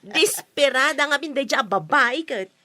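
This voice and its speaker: A woman's voice: high voice, lively intonation, unhurried, pushed and bright, no echo, full character voice. An actress playing a young daughter who eagerly gossips with her mother in a radio drama. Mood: gossipy.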